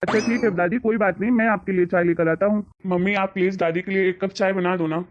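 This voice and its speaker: A woman plays a level-headed young grandson in a family skit. He speaks calmly and reasonably in a mid-range voice, trying to settle the quarrel. The recording sounds a little dull and lo-fi. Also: mid-range voice, fast, lightly acted. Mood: reassuring. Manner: calm, reassuring, reasonable. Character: calm young grandson, peacemaker.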